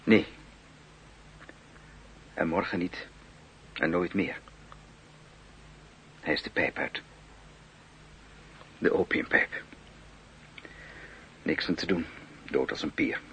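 An actor playing a blunt, callous grown man who speaks in a flat, offhand way. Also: low voice, slow pace, pauses often, slight background noise, poor audio. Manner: flat, offhand delivery of bad news.

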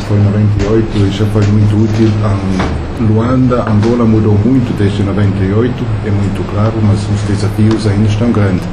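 Low-voiced non-native Portuguese-speaking man. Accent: foreign speaker of Portuguese with faded Brazilian traits. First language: not Portuguese. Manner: friendly, unhurried, pauses to find words. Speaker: World Bank country director. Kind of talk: workshop welcome remarks. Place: meeting room. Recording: echoey room with loud background noise.

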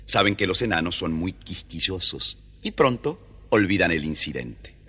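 A man's voice: deep voice, lively intonation, normal speed, pushed and bright, faint music behind. A man narrating a scripted children's story as the storyteller. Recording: faintly noisy old storybook record.

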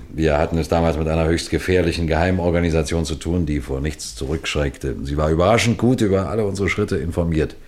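Calm veteran detective in a radio crime story, real deep voice, measured n suspenseful, polite. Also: male voice. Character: seasoned, composed male detective superintendent telling his story. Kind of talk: radio crime drama narration and dialogue.

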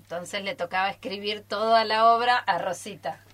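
A woman's voice: mid-range voice, fairly even intonation, moderate pace, fairly bright tone. A woman with an Argentine accent chatting informally in a group interview as an amateur radio theatre performer. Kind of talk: casual group interview chat. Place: radio studio.

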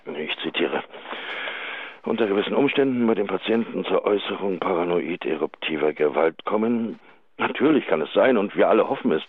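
A man's voice: sits low, breathy edge, heavily acted. An actor in a radio drama playing a formal, awkward chief inspector, officious and hesitant as he leaves a phone message. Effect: telephone filter. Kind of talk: German radio drama acting. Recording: tinny, echoey phone line.

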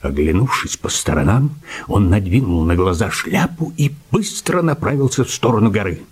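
A man's voice: lowish voice, very animated, normal speed, slightly rough. A man narrating a scripted radio drama.

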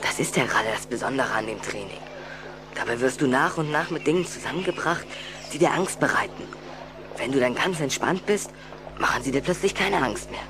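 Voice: medium pitch, animated, a little fast, background noise, close to an everyday voice. A performer playing an earnest, hesitant young schoolboy in a children's audio drama, patiently explaining and reassuring.

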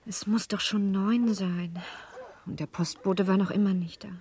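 An actress playing an earnest, anxious, well-bred young wife in a German radio play, polite and restrained in manner. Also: female voice, medium pitch, steady tone, moderate pace, a little mellow, slightly rough, natural voice. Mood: worried. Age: young adult.

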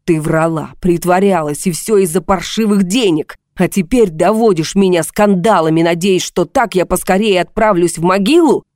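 Volatile husband as a woman narrator plays him, self-pitying, agitated, pleading, mellow timbre, dry audiobook sound. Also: mid-range voice, moderate pace, no echo, lightly acted. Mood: accusing.

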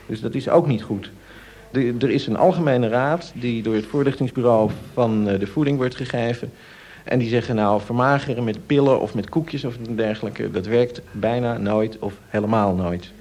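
A man in an informal radio discussion, answering questions as an expert. He explains step by step in a hesitant way, often searching for the right word, at a middling pitch. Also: normal speed, few pauses, darkish tone.

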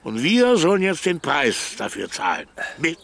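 Aggrieved engineer guy, creaky voice, insistent and self-righteous, radio play. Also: a little high, huge pitch swings, moderate pace, a bit bright, full character voice. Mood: indignant, aggrieved. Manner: emphatic, aggrieved. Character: indignant, self-righteous male German engineer abroad. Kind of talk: naturalistic German radio drama acting.

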